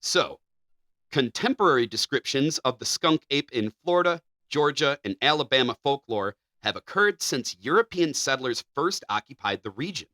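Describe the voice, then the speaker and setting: Bright-voiced man co-hosting a podcast. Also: medium pitch, dry recording. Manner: reads passages in a measured, even delivery. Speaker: podcast co-host. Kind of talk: casual chat and reading facts aloud.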